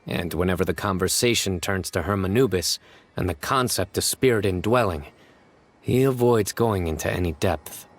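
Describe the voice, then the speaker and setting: An actor playing a stern, reserved young desert investigator who speaks in a measured, deadpan way and sighs often. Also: male voice, low-pitched. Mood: calm, grave. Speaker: lead investigator.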